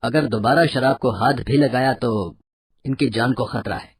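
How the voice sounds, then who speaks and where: A woman voicing a stern doctor giving grave warnings in an animated moral story. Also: rumbling low, measured pace, slightly rough, dry recording, full character voice.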